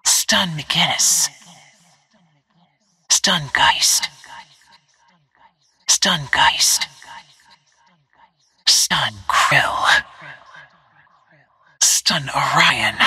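A cold, focused female assassin from a video game, giving short tactical callouts. Her voice is low, very husky and breathy, often sinking into a whisper with a sharp, hissing edge. She stays terse and deliberate, like someone keeping out of sight. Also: no room sound, full character voice. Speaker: playable video game character.

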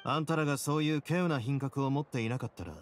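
A male actor playing a composed, confident prison boss in a Japanese game, speaking in a measured, dryly courteous way. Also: mid-range voice, a little hiss or hum, lightly acted. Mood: composed, sincere. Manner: measured, cool, casually self-assured. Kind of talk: Japanese anime game voice acting. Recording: studio voice over game music.

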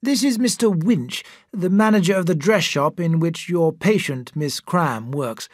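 A man's voice: on the high side, measured pace, dry recording, clean studio sound, voice pushed up. A man voicing a flustered, eager-to-please male dress shop manager in an audiobook.

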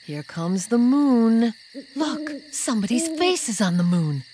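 A woman giving scripted children's educational narration, gentle and patient, asking toddlers simple questions. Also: a little high, very animated, unhurried, slight background noise. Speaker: narrator of a children's alphabet video. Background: children's background music.

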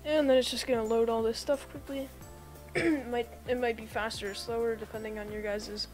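A woman's voice: fairly high pitch, fairly even intonation, fairly quick, a little mellow, faint music behind. A woman with a sick-sounding voice, chatting casually to viewers as she talks them step by step through a computer setup. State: sick, voice a bit off. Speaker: YouTube tutorial creator. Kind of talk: casual screen-recorded tutorial voice-over.

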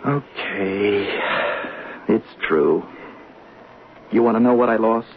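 An actor playing a desperate, defensive gambling addict accused of murder, halting and pleading, in 1970s radio drama style. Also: male voice, on the low side. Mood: bitter, defeated. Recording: tinny band-limited noisy 1970s radio recording.

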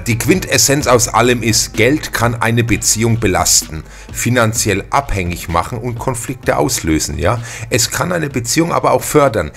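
A man's voice: fairly low pitch, a bit bright. A man hosting a casual discussion podcast, searching for his words now and then as he talks. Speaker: podcast host. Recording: music playing behind the voice. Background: background music.

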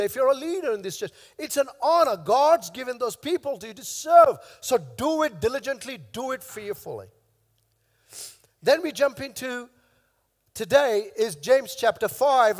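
Man, pastor, hoarse voice, high pitch, emphatic sermon, headset mic, reverberant church hall. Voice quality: coughing now and then. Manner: emphatic preaching, repeating key phrases. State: hoarse, lost his voice. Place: large church stage with long reverb.

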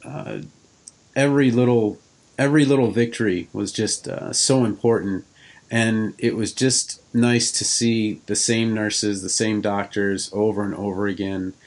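A man's voice: on the low side, fairly even intonation, unhurried. A middle-aged man hosting a podcast, asking questions in a casual interview style.

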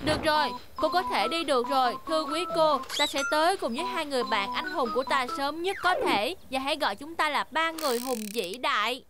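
A woman's voice: sits high, expressive, brisk, hardly any pauses, very noisy. A woman voicing a bold, boastful little boy train in a cartoon, earnest and declamatory. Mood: heroic. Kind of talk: cartoon dubbing. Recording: loud music behind the voice.

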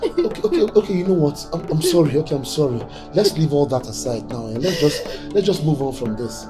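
An agitated, protective boyfriend in a film drama. He is insistent and pleading, repeating himself with a very lively pitch. Music plays clearly behind him. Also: brisk. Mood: defensive, placating. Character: adult boyfriend, agitated, protective, then disbelieving and placating.

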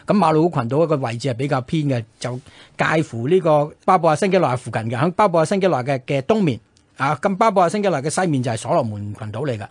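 A male radio co-host with a Hong Kong Cantonese accent recounts history in a conversational way. His voice sits a little high and is husky, and he talks rapidly. The sound is dry and close. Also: lively intonation, no echo.

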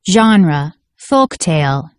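A woman reading scripted educational narration for children in a deliberate, clearly enunciated way. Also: low-pitched, big pitch swings, dry recording, studio quality.

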